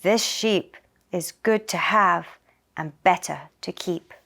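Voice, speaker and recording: A woman voicing a sly, greedy neighbor man in a children's storybook folktale, measured and self-satisfied. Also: lowish voice, gravelly, fairly bright tone, heavily acted. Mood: greedy delight.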